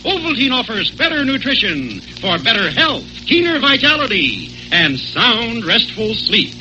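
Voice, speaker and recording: A man's voice: very high-pitched, sing-song, measured pace, over loud music. A man announcing a 1940s radio serial and its sponsor with polished, emphatic delivery. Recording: muffled 1940s radio recording.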